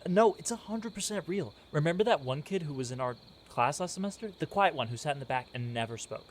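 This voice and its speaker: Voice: mid-range voice, lively intonation, fast, natural voice. Amateur actor doing earnest spooked college kid pushing a ghost story, radio drama, bit of cricket noise. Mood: ominous. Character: insistent, spooked college student who believes in ghosts. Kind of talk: amateur radio play acting. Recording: noisy recording with chirping crickets.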